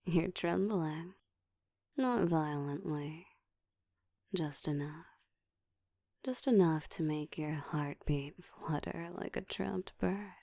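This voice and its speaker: Low, slow, seductive vampire woman acting, half-whispered. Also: dry recording, studio quality, exaggerated voice. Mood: seductive, taunting. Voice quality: soft, breathy whispering mixed with low murmur. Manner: slow, teasing, commanding, often dropping into a whisper. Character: seductive, dominant ancient female vampire toying with prey. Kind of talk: intimate ASMR audio roleplay.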